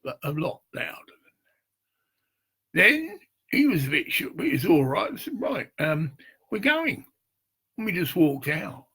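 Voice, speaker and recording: An older man with a gravelly, husky voice, rambling and swearing in a loose monologue, often checking "get it?". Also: on the high side, big pitch swings, a little slow, long pauses, sharp tone. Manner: rambling, profane, keeps asking "get it?". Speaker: street preacher.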